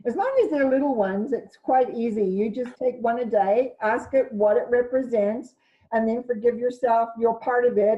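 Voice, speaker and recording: Loud-voiced woman teaching on a video call. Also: a little high, highs cut off. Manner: rambling, self-correcting delivery. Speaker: spiritual teacher hosting an online session. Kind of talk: informal talk to an online group.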